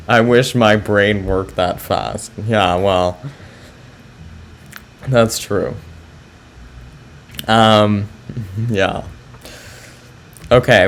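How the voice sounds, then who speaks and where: A man with a low voice, chatting casually with viewers as a chess streamer, soft-spoken and hesitant with many fillers. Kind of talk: casual live-stream chat.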